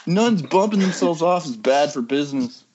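A man's voice: a little high, animated, moderate pace, darkish tone, slight background noise, lightly acted. Man acting Mark, sardonic, casual young guy. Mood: matter-of-fact. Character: Mark, flippant young comic-artist guy in horror story. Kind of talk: amateur screenplay table read. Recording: dull, lo-fi internet call.

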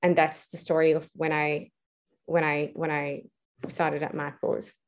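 A young woman with a slightly low voice is answering interview questions about her own path. She speaks casually and thinks out loud, using plenty of fillers and restarting her sentences. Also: level tone, measured pace. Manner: reflective, many fillers and restarts. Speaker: guest, entrepreneur.

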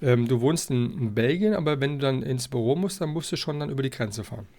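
A man hosting a casual podcast, talking in a halting, wordy way and circling around his point. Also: medium pitch, animated, darkish tone. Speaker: podcast host. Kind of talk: rambling, casual podcast introduction and interview.

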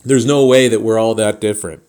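A man hosting a solo podcast, speaking straight to listeners in a deliberate, halting way with pauses mid-thought. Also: on the low side, steady tone, darkish tone. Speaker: podcast host.

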